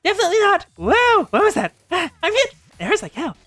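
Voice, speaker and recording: A woman voicing a blunt, complaining wounded girl fighter from a game, loud and exclamatory. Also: extremely high pitch, wildly swooping pitch, fast talker, dry recording, soft background music, pitched above the performer's own voice, full character voice. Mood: pained.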